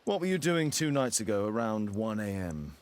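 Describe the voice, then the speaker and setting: An actor playing a shrewd, self-assured Victorian detective in a video game, British accent, precise, probing and dryly superior. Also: male voice, lowish voice, big pitch swings, moderate pace, a little hiss or hum. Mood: probing, sceptical. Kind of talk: dramatic video game acting.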